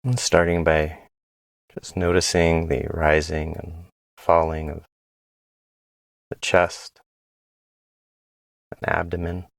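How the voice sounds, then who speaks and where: A man leading a guided body scan meditation in a gentle, unhurried way, pausing between phrases. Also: deep voice, little pitch movement, gravelly, no room sound, rough recording.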